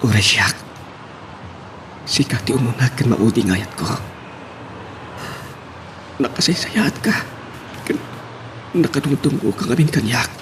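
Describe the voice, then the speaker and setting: Man acting an ardent young lover, animated intonation. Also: medium pitch, a little slow, long pauses, background noise, natural voice. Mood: tender, devoted. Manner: wooing, pleading. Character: ardent young male lover, sweet-talking and devoted. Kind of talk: radio romance drama acting. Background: music.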